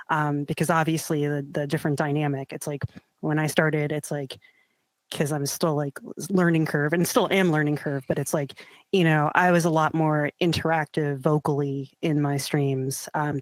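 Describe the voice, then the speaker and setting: A woman's voice: deep voice, dry recording. Woman rambling with lots of "like". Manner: rambling, many "like" fillers. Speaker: guest, streamer and musician. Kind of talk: casual interview chat.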